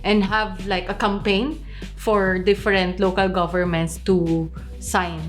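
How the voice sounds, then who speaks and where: A woman's voice: mid-range voice, level tone, measured pace. Chatty woman co-hosting a vlog. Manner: chatty, lots of fillers and "like". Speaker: vlog co-host. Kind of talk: casual vlog chat. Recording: faint music underneath.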